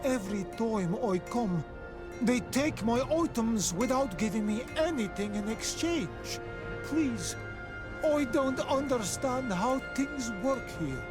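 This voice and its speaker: A man's voice: very high voice, animated, music in the background, exaggerated voice. A man playing a troubled native islander merchant in a video game, speaking in an earnest, pleading and formal way. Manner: earnest, halting, foreigner unfamiliar with local ways. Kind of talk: video game dialogue acting.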